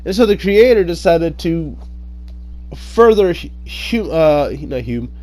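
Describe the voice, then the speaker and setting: A man telling folk tales informally in a halting, hesitant way, often pausing and correcting himself. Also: on the high side, big pitch swings, mellow. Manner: halting, hesitant delivery with frequent pauses and self-corrections. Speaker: online storyteller.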